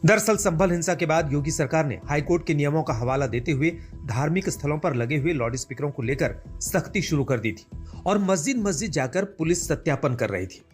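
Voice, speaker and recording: A man's voice: a little high, expressive, fairly quick. A male reporter reading a scripted news voice-over. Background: music bed.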